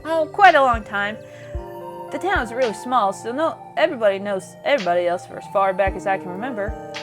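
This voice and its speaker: A woman's voice: mid-range voice, lively intonation, brisk, over music, lightly acted. A woman reading the lines of a chatty, friendly small-town young woman in a video game, with a folksy, easygoing manner. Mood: easygoing candor.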